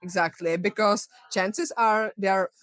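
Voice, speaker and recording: A woman's voice: medium pitch, steady tone, unhurried, a bit bright, dry recording. A young adult woman with a Czech accent, a guest health coach talking earnestly in a casual podcast interview, with many fillers. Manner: earnest, encouraging, many "you know" fillers.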